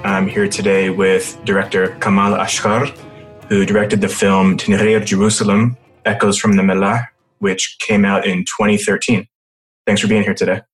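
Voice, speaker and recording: A man hosting a podcast, who reads a scripted intro in a clear, measured way and then chats casually with his guest. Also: lowish voice, little pitch movement, faint music behind, rough recording. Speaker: podcast host.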